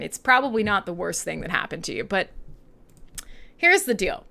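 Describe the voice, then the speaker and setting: Animated, bright-voiced Canadian woman. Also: fairly high pitch. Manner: sweary, sarcastic, animated, laughs often. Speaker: radio show host. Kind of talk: irreverent casual chat with live listeners.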